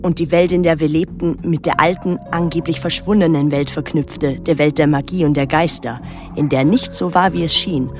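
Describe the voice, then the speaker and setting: An actress playing a troubled, watchful daughter who narrates an island mystery in a measured, literary way. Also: female voice, low-pitched, steady tone, music in the background, close to an everyday voice. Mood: brooding. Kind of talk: literary radio drama narration and dialogue.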